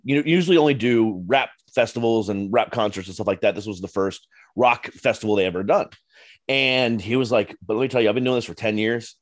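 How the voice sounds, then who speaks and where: Low-voiced man, earnest podcast host. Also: dry recording, clean studio sound. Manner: earnest, storytelling, repeats himself. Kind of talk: casual podcast chat.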